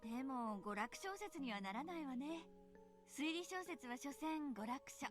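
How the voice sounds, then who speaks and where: High-voiced, assured anime mother. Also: lively intonation, brisk, faint music behind. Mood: knowing, assured. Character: knowing, matter-of-fact mother in Japanese anime game. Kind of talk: Japanese visual novel voice acting.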